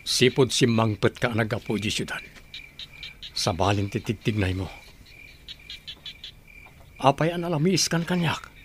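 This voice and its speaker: An actor in a radio drama playing a heartbroken, desperate husband, pleading with anguish. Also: male voice, mid-range voice, animated, a little slow, long pauses, slight background noise, natural voice.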